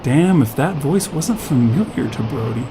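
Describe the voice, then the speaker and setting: A man's voice: a little high, lively intonation, moderate pace, noisy background. A man narrating scripted fiction as a storyteller.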